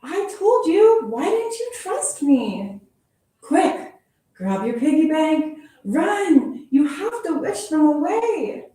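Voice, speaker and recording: A woman teacher reading a children's picture book aloud in a playful, expressive storytelling manner, adding little sound effects. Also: high voice, slow pace. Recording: very echoey room from far off.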